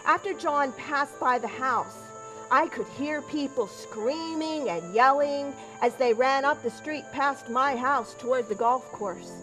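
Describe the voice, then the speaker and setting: Woman playing small-town doctor's wife, high voice, slow deliberate storytelling, historical reenactment monologue. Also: a bit bright, faint music behind, poor audio. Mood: recounting. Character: doctor's wife recounting witnessing a robbers' chase in 1910s. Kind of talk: scripted first-person historical monologue.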